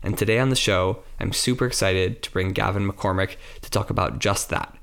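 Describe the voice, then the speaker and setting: A man's voice: on the low side, normal speed, creaky, fairly bright tone. A man hosting a podcast, eager and a bit rambling as he introduces and questions his guest. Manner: eager, rambling, laughs easily. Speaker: podcast host. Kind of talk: enthusiastic interview chat.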